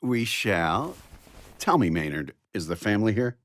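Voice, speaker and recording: An actor playing a curt, aloof young heir in a game cutscene, with a clipped manner. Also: male voice, fairly low pitch, sing-song, measured pace, fairly bright tone, no echo. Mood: cool, curt. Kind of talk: video game cutscene acting. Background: music.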